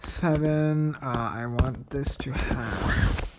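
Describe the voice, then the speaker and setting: Rambling woman thinking aloud, low voice. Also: drawn-out words, heavy background noise. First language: Cantonese. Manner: rambling, self-questioning, many fillers. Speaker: language creator. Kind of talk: thinking aloud while screen-recording.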